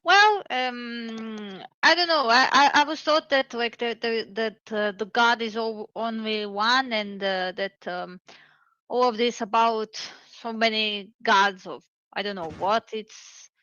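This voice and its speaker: A woman's voice: a little high, slow, bright, forceful tone, dry recording, dull audio. A woman from Serbia, calling in to a discussion on belief, speaking hesitantly with many fillers and restarts over a video call. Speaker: caller. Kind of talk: casual debate chat.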